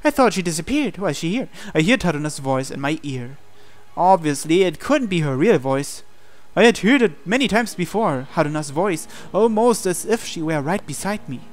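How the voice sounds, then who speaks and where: A man's voice: a little high, very animated, moderate pace. A man reading visual novel text aloud as dramatic scripted narration for a playthrough.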